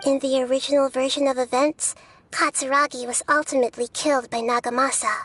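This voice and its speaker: A woman playing a calm, wise, gentle storyteller who narrates solemnly in a video game. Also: high voice, brisk, a little hiss or hum. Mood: solemn, explanatory.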